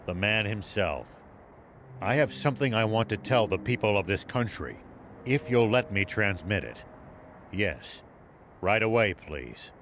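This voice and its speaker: Deep-voiced, resolute old general. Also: male voice, fairly bright tone, a little hiss or hum, exaggerated voice. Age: older. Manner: measured, terse, commanding. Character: grave, resolute elderly male former general.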